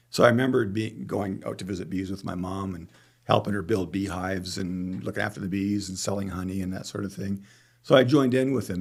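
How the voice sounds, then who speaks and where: A man's voice: fairly low pitch, creaky, husky. A middle-aged man with a Canadian accent, talking conversationally as a guest in an interview. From Calgary, Canada. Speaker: podcast guest, beekeeper.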